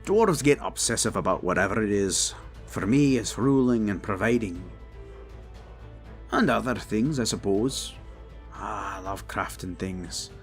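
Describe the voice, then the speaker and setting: A man playing a gruff, hearty dwarf king and armorer in a fantasy audio drama, blunt, proud and commanding. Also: on the low side, measured pace, faint music behind, natural voice. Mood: proud, matter-of-fact. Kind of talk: fantasy audio roleplay acting.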